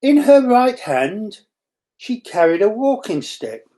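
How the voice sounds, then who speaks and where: Woman, storybook narration, mellow tone, very expressive pitch movement, leisurely pace. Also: slow pace. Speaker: school staff member reading aloud.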